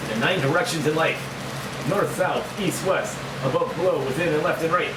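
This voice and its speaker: A man's voice: fairly high pitch, moderate pace, natural voice. A man reading a proud, easygoing guardsman, echoey and distant. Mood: explaining. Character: easygoing forty-one-year-old Polynesian coast guard officer, admiring, proud. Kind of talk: screenplay table read dialogue. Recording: echoey, distant mic, very noisy.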